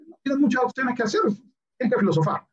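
Hombre venezolano de lengua materna inglesa, voz aguda y bromista, sonido apagado de baja calidad, invitado de pódcast. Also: male voice, very high-pitched, big pitch swings, fast talker. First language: English. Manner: playful, joking storyteller. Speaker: guest, lawyer and philosopher. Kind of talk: casual podcast conversation. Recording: dull lo-fi sound.

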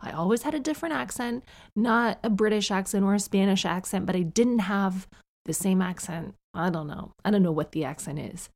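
A woman in her thirties from Canada with a neutral North American accent, hosting a podcast in a chatty, gossipy monologue with quick asides. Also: mid-range voice, a little mellow. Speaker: podcast host. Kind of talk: casual monologue.

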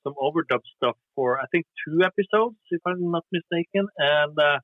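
A Norwegian musician talking casually in an interview, hesitant, with lots of fillers and restarts. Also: male voice, a little high, moderate pace, dry recording. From Norway. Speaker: musician guest. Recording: dry tinny phone line.

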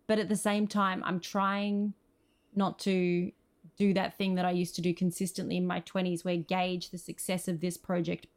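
A woman's voice: lowish voice, little pitch movement, normal speed. An Australian woman speaking as an interview guest, earnest and effusive in a conversational way. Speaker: podcast guest, screenwriter.

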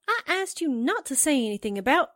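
A woman's voice: high-pitched, very animated, brisk, fairly bright tone, dry recording, clean studio sound. A woman playing a naive, literal-minded and easily flustered young assistant in a 1940s-style radio drama. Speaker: actor in an amateur radio drama re-creation.

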